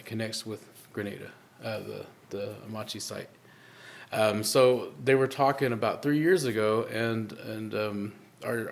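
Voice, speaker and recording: A man guest in a live interview, speaking earnestly in halting phrases full of fillers and restarts. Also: on the low side, vocal fry.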